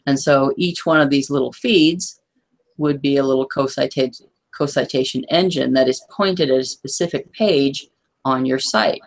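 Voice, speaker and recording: A hoarse woman presenting in a webinar, walking listeners through a chart and checking that they follow. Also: extremely low pitch, moderate pace. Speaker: guest presenter.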